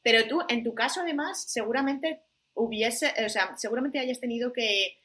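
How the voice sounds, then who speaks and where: A woman hosts a casual podcast chat, putting questions to her guest and chiming in with quick agreement. Her voice is clearly bright and sits a little higher than most. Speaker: podcast host.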